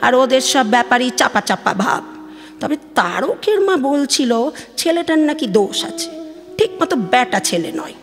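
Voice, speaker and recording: Woman acting a high-voiced, weary old Bengali mother. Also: big pitch swings, moderate pace, long reverb tail. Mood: gossiping, disapproving. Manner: colloquial, lamenting, exclamatory. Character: weary, worried, complaining elderly Bengali village mother. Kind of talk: audio drama recitation of a phone dialogue. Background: music.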